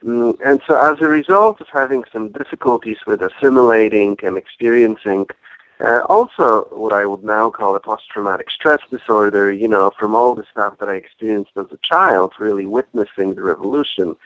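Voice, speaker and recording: A man in his forties with a Polish accent and a fairly low voice. He speaks as a guest, reflective and measured, pausing often. The sound is dry and slightly muffled. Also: dry recording. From Poland. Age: 40s. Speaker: podcast guest, activist and author. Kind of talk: reflective personal storytelling in interview.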